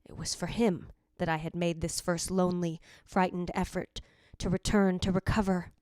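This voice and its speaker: A woman's voice: lowish voice, steady tone, moderate pace, a little mellow, smooth, no room sound, clean studio sound, natural voice. A woman playing the yearning, possessive ghost of a dead wife, narrating formally in old-time radio drama style. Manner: formal, literary, theatrical delivery.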